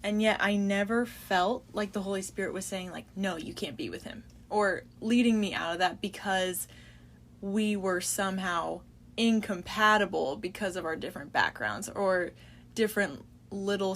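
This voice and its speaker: A woman's voice: mid-range voice, moderate pace. Earnest woman co-hosting a podcast. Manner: steady, earnest, sums things up. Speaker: podcast co-host. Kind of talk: casual faith chat.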